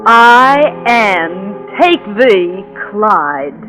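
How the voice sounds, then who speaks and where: A woman's voice: medium pitch, big pitch swings, extremely slow, sharp tone, faint music behind, heavily acted. An actress playing an obsessed, willful woman in a 1940s radio drama, with controlled, theatrical delivery. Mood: calm, possessive. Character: Anne, obsessed, coldly willful woman in love. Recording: dull, lo-fi vintage radio recording.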